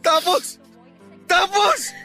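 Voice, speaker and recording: A man's voice: extremely high pitch, fairly even intonation, drawn-out words, sharp tone, heavily acted. A man acting a betrayed, heartbroken suitor in a Filipino radio drama, accusatory and anguished, repeating himself in outbursts. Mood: pleading, hurt. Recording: music bed behind the voice.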